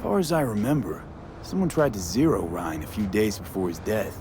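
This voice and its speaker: A man's voice: lowish voice, sing-song, normal speed, music in the background. A man playing a blunt, skeptical young streetwise mercenary with a casual, cool manner in a video game. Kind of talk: cinematic video game acting.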